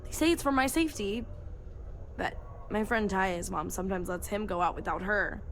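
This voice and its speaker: A woman's voice: mid-range voice, normal speed, slight background noise, natural voice. A woman voicing a chatty, childlike young girl from Mars as video game character dialogue. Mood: matter-of-fact. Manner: eager, childlike, rambling.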